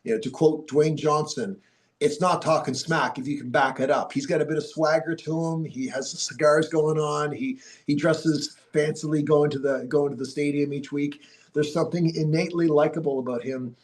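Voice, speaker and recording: A man's voice: fairly high pitch, fairly even intonation, moderate pace. A man hosting a sports podcast from a TV studio, chatting casually with a broadcaster's polish while stuffy from a cold. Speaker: podcast host.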